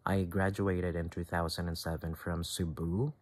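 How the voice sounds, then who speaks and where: A man in his thirties with a very low voice and a Filipino accent speaks English, his second language. He talks live and unscripted, stopping to reach for words and often starting a sentence over. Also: level tone. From Philippines. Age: 30s. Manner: halting, many fillers and restarts. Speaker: vlogger. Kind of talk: casual talk to camera, done live.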